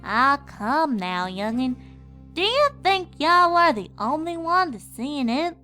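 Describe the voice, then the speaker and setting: Lady doing kindly old country granny horse, slow n folksy, music faintly behind. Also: sits high, big pitch swings, bright, forceful tone, voice pushed up, heavily acted. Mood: amused, reassuring. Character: kindly old granny pony, knowing and unbothered.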